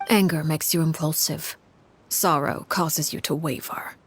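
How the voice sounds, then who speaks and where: A woman voicing a cold, commanding crime-family matriarch in a game, speaking in a measured, formal, stern way. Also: low voice, fairly even intonation, a little hiss or hum. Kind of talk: dramatic video game voice acting.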